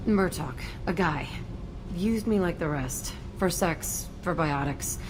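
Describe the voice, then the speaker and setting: A hard-edged young woman outlaw in a sci-fi game. Her voice sits low, and she speaks bluntly, with bitter, guarded defensiveness. There is plenty of noise behind her. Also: expressive, takes it slowly. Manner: blunt, foul-mouthed, defensive. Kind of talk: video game dialogue. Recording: loud background noise, game ambience.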